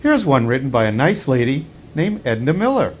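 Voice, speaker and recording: A slightly muffled recording carries a man reading a children's story as Santa. His voice sits at an average pitch. He tells it expressively, with measured phrasing, over faint background noise. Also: very animated. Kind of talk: storybook read-aloud for children.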